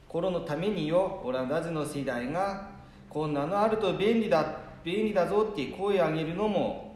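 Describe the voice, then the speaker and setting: A man's voice: fairly high pitch, close to an everyday voice. A man playing a proud, playful old grandfather in an amateur radio drama, speaking Nanbu dialect of northern Tohoku. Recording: echoey room, faint background noise.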